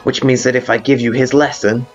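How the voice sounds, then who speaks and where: A man's voice: medium pitch, little pitch movement, brisk, dull audio, natural voice. A man voicing a brash, hot-headed female monster guard captain with blunt, informal delivery in a video game fan dub. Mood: earnest. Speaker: character voice actor in a fan dub. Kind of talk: dramatic video game dialogue. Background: background music.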